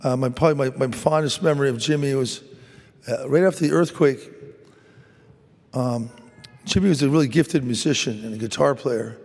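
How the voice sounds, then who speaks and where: A man from a mission team telling a story to a church congregation, halting and earnest, repeating words as he goes. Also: mid-range voice, a little mellow. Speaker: mission worker speaking at Mass. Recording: church hall reverb.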